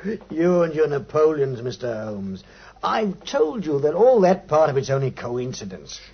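Sceptical but genial copper, English, homely delivery with lively swings, dull vintage recording. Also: male voice, fairly high pitch, normal speed, breathy edge, full character voice. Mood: sceptical, low. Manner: homely, plain-spoken. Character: bluff, sceptical, good-natured police inspector. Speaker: actor in a radio drama. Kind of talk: 1950s radio acting. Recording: dull 1950s radio recording.

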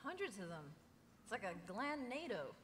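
A woman's voice: fairly high pitch, big pitch swings, moderate pace, a bit bright. A young woman playing a wry, gutsy young agent in a live table read. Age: young adult. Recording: reverberant hall, background noise.

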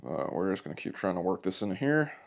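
A man's voice: low voice, big pitch swings, quick pace, creaky, a little hiss or hum. A man in a workshop explaining a plastic welding repair in an easygoing, plainspoken way as he works. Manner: easygoing, plainspoken, talks while working. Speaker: motorcycle repair demonstrator. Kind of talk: hands-on how-to narration. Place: indoor workshop.

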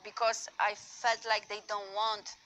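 A woman in her thirties from Poland with a Polish accent, speaking halting, emotional non-native English in a news interview. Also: mid-range voice, level tone, sharp tone, slight background noise, rough recording.

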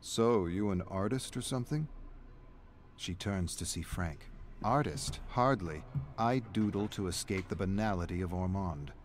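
A man's voice: low-pitched, unhurried. A man reading a scripted, dramatic story narration as the narrator. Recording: background noise under game narration.

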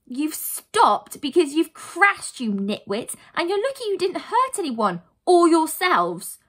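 Woman voicing a bossy, sensible young schoolgirl, lively pitch. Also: fairly quick, breathy edge. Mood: stern scolding. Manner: emphatic scolding. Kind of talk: children's storybook character reading.

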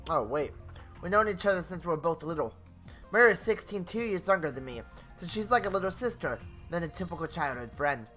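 A man's voice: fairly high pitch, animated, fairly quick, sharp tone. A young man hosting a gaming video, reading story narration theatrically with casual asides and the odd stumble over words. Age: young adult. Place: small home bedroom. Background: game music.

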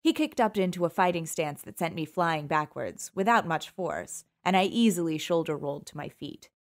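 A woman's voice: on the low side, animated, normal speed. A woman narrating a first-person audiobook in a wry, sultry storytelling manner.

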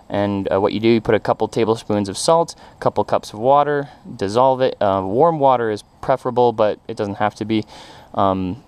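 A man with a low, smooth and resonant voice talks through a how-to in a relaxed, halting way, stopping often for ums and restarts. He is outdoors in a backyard, recorded on a head-mounted action camera. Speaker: backyard poultry keeper. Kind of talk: casual how-to explanation.

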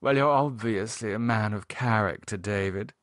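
A man's voice: fairly low pitch, a bit bright, no echo, studio quality, lightly acted. Man putting on a gushing, coquettish posh English lady. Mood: coy, flirtatious. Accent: upper-class English. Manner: effusive, drawn-out, coquettish. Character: gushing flirtatious upper-class English society wife. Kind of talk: audiobook character voice.

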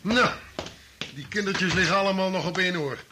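An actor playing a gruff, hard-nosed criminal who takes charge, brusque and sardonic, in a Dutch radio play. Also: male voice, high-pitched, normal speed, heavy background noise, close to an everyday voice. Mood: commanding. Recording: sharp old radio recording with clicks.

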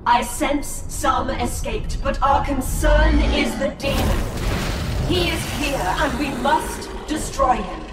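An actress playing a grave, commanding alien seeress in a video game cutscene, speaking in formal, prophetic, measured phrases. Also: female voice, high voice. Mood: grave, resolute. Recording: roomy, distant, loud cinematic music behind.

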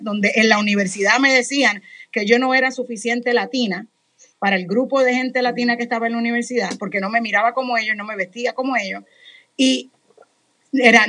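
Talkative Puerto Rican woman, high voice. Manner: talkative, animated, self-deprecating. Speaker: radio program director and moderator. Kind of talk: panel discussion on a video call. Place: home room with headphones and studio mic. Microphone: studio microphone on a video call.

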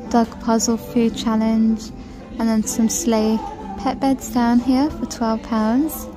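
A woman vlogging a walk through a supermarket on her phone, chatting and gushing over items as she reads out prices. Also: a little high. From United Kingdom. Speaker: shopping vlogger. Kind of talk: shop-with-me walkthrough narration. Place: large supermarket, indoors.

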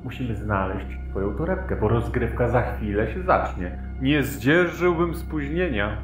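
An actor playing a wealthy, impatient husband in an audio drama, with pompous, old-fashioned formal speech. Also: male voice, medium pitch, expressive, unhurried, natural voice. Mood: dismissive. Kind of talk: Polish audio drama acting. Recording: echoey room, music behind.

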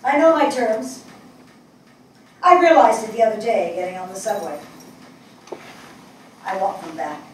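An older woman acting a grieving, resolute New Yorker in a dramatic stage reading, speaking in emphatic, deliberate short phrases. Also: mid-range voice, natural voice. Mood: angry. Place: indoor theatre stage. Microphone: distant camera. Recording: echoey stage, distant mic, faint noise.

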